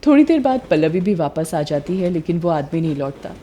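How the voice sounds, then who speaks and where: A woman's voice: deep voice, big pitch swings, fairly quick, warm tone, a little hiss or hum. A woman narrating a scripted cartoon story.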